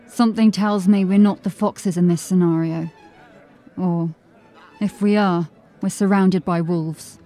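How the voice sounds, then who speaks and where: Actress as mellow, deadpan female constable. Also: lowish voice, a little slow, soft background music, close to an everyday voice. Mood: wary, dry. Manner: deadpan, understated. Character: dry, watchful female fantasy watch constable. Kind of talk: fantasy audio drama acting.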